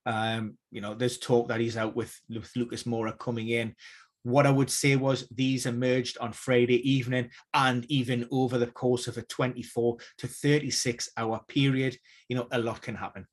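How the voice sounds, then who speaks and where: Measured man giving expert analysis. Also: medium pitch, level tone. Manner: measured, hedging, many fillers and restarts. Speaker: injury news expert. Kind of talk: casual expert analysis on a live stream.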